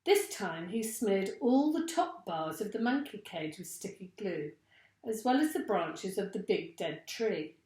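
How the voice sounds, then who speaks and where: A woman's voice: medium pitch, animated, measured pace, dark tone. Woman reading a story in an echoey room. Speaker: primary school teacher reading aloud. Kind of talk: expressive children's story narration.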